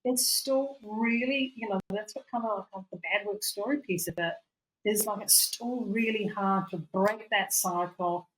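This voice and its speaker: A woman's voice: mid-range voice, unhurried, fairly bright tone, a little hiss or hum, rough recording. A woman co-hosting a casual chat as a coach, talking reflectively and rambling, with many "you know"s and "like"s, over a remote call. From Europe. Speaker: podcast co-host and coach.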